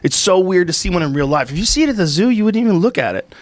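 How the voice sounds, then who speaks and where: Profane, congested podcast host. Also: male voice, sits high, very fast, dry recording. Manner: animated, profane storytelling. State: congested from a cold. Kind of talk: casual chat. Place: studio with brick wall. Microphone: studio mic on boom arm, headphones.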